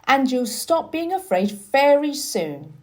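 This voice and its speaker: A woman's voice: high-pitched, big pitch swings, slow pace, darkish tone, pitched above the performer's own voice, exaggerated voice. A woman reading a children's storybook, voicing a kind, confident country boy who encourages with emphasis. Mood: encouraging.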